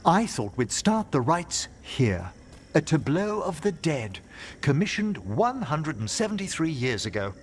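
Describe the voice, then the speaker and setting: A man playing a scholarly gentleman necromancer in a fantasy game, speaking formally and eloquently with a theatrical manner. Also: a little high, big pitch swings, a little slow, slight background noise. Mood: solemn, eloquent. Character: courtly, scholarly gentleman necromancer, genial and solemn.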